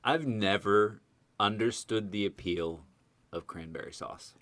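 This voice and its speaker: A man's voice: lowish voice, measured pace, a bit bright, no room sound. A man chatting casually as a podcast co-host, joking in a sarcastic, mock-dramatic way. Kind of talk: casual group banter.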